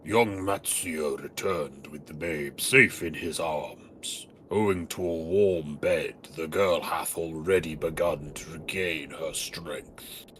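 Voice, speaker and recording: Great game dragon, male performer: low, grave, formal, measured, sorrowful nobility. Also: fairly bright tone, slight background noise, full character voice. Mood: solemn. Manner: solemn, formal, measured storytelling delivery. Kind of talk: fantasy game dialogue read aloud.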